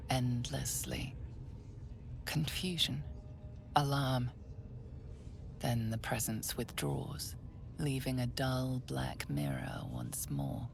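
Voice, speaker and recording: A grave fantasy game narrator with a very deep female voice and heavy creak. She delivers second-person narration in an ominous, hushed and deliberate way. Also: slight background noise, full character voice.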